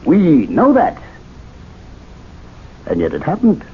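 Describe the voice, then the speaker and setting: A lecturing club member. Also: male voice, fairly high pitch, huge pitch swings, takes it slowly, breathy edge, full character voice. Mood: earnest. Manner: earnest, lecturing, emphatic. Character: learned man lecturing fellow club members. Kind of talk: 1970s radio drama acting. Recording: tinny, narrow-band old radio broadcast, faint hiss.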